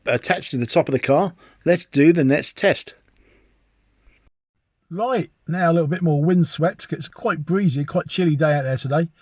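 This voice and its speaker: British man, higher-pitched voice, dry close sound, enthusiastic review narration, video presenter. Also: no echo. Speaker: presenter of radio hobby videos.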